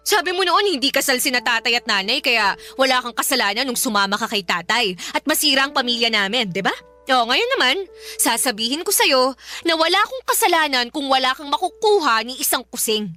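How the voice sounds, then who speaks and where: A woman's voice: high-pitched, animated, a little fast, bright, forceful tone. A Filipino woman playing a vengeful young daughter in a radio drama, taunting her father's mistress with sarcasm and scorn. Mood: scornful taunting.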